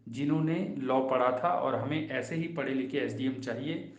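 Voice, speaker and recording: A man's voice: mid-range voice, moderate pace, echoey room, muffled recording. A man presenting an explanatory legal commentary in an emphatic way, mixing in English legal terms. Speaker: host of a legal explainer channel.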